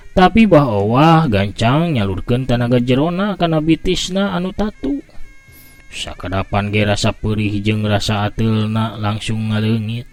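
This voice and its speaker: A man from West Java telling a martial arts story slowly, with vivid sound effects. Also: lowish voice. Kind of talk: scripted storybook narration.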